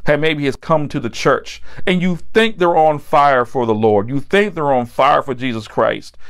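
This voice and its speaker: A middle-aged American man delivering a sermon as a preacher, blunt and admonishing, driving points home with repetition. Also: mid-range voice. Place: indoor set with backdrop, standing at a table.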